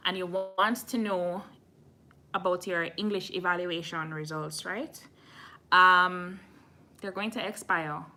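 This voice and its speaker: A woman's voice: on the low side, steady tone, takes it slowly, bright, forceful tone. A woman from Jamaica hosting a casual live stream, answering viewer questions frankly and admitting when she doesn't know. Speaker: immigration channel host.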